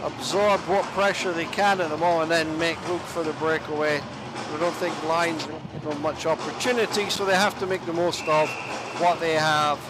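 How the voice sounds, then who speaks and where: A man giving live play-by-play commentary on an ice hockey game, chatty and self-deprecating, sometimes pausing to find his words. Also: a little high, fairly even intonation, background noise. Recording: music clearly audible behind the voice. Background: music.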